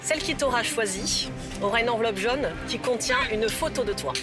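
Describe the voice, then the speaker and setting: Calm, instructive woman coach. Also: a little high, brisk. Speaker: love coach. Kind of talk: coaching talk. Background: background music.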